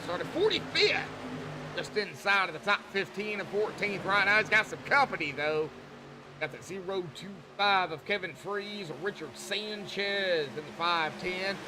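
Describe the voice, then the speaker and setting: A man's voice: sits high, background noise. A man with a Southern US accent calling a race live in a folksy, rambling way, often repeating phrases. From Arkansas, southern United States. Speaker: race commentator. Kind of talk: live sim racing commentary, folksy and conversational.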